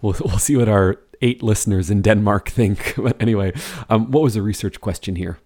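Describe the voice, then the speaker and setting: A man's voice: fairly low pitch, fairly quick, a little mellow. A man hosting a medical podcast, asking questions and joking wryly. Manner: wry, joking, asks questions. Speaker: podcast host.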